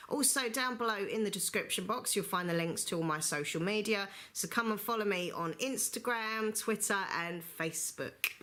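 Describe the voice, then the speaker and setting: A woman's voice: mid-range voice, normal speed, few pauses, bright, forceful tone. A woman chatting through a book review vlog, rambling with lots of fillers and getting over the flu with a slight cough. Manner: chatty, rambling, many fillers like "you know". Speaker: book vlogger. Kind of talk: casual book review monologue.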